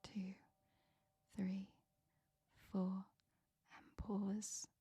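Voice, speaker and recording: A woman softly whispering a guided meditation with slow, soothing breath counts. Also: medium pitch, monotone, slightly rough, no echo.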